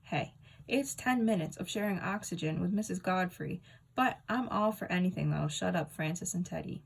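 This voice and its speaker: A woman reading a children's book aloud as a storyteller, with expressive, dramatic and emphatic delivery. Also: lowish voice, normal speed, vocal fry, slightly rough, poor audio.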